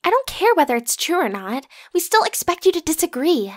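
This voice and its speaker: Woman playing popular school girl for asmr roleplay, really high voice, bratty n teasing, casual slangy giggly talk. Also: very animated, a little fast. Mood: pouty, coaxing. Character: popular teenage-student girl, teasing, bratty, playful roommate. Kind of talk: ASMR roleplay acting, one side of a conversation.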